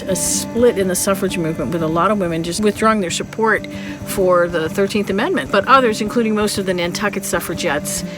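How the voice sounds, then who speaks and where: A woman vlogger narrating history in a casual, conversational way with wry, playful asides to her viewers. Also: medium pitch.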